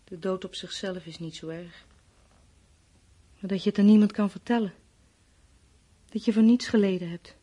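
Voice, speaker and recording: A woman's voice: mid-range voice, normal speed, long pauses, dark tone, lightly acted. A woman acting in a radio play as a weary, fearful, brooding survivor of a world war's collapse. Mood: resigned, drained.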